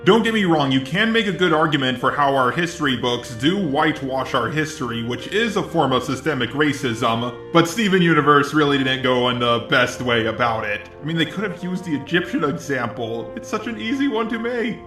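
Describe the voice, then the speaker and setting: A man's voice: a little high, expressive, a little fast, hardly any pauses, sharp tone, reverberant, soft background music. A man's synthetic text-to-speech voice delivering scripted video commentary.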